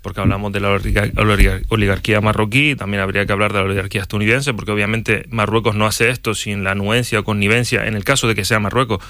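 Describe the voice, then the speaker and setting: A man's voice: on the low side, little pitch movement, fast, few pauses, pushed and bright, dry recording, clean studio sound. A man, arguing his points as a panelist in a televised political debate. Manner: argumentative, reasoned, with self-corrections.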